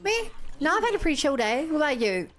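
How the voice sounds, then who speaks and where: A woman's voice: high-pitched, sing-song, fast. A woman with a New Zealand accent, a game streamer chatting casually and reading on-screen text aloud.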